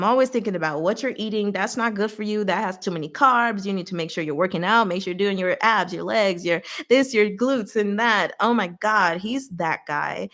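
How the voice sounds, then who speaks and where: A woman hosting a casual live recap, talking in an expressive, playful, giggly way with emphatic asides. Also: mid-range voice. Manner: giggly, expressive, laughs often while talking. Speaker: host of a live recap and discussion. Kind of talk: casual livestream chat recapping a story.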